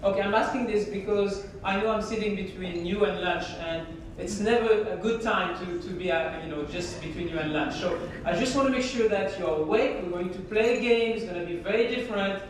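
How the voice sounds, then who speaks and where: A woman with a French accent delivers a keynote talk in an easy, conversational manner, often pausing and reaching for words. Her voice comes from a distance, with a strong wash of hall reverb and a faint low rumble underneath. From France. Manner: conversational, hesitant, many fillers. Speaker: keynote speaker. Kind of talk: conference keynote presentation. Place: large conference hall.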